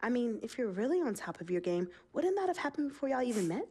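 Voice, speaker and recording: An actress playing a sharp, sarcastic young woman who manages her brother's career in a video game cutscene. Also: on the high side, very animated, fast talker, breathy edge.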